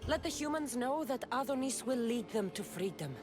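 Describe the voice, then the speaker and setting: A woman's voice: on the high side, lively intonation, normal speed, music in the background, close to an everyday voice. A woman playing a confident, blunt warrior heroine in a video game, direct and matter-of-fact. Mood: assured, helpful. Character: confident, blunt female warrior hero, questioning and helpful. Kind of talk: video game cutscene acting.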